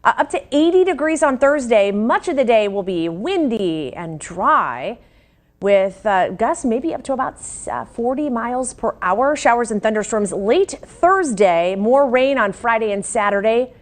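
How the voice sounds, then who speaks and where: A woman's voice: fairly high pitch, very animated, measured pace. An American woman presenting news and weather as a television anchor.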